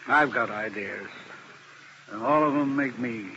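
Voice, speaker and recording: A man's voice: mid-range voice, a little slow, bright, forceful tone, muffled recording. An actor playing a weary, guilt-ridden male defense lawyer in a 1950s radio drama, troubled and heartfelt. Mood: anguished. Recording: hissy old radio broadcast.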